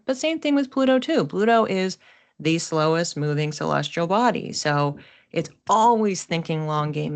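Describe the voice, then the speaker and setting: A woman hosting an explanatory astrology talk in a conversational, thoughtful way, with expressive emphasis on key words. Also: sits low. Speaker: astrologer host.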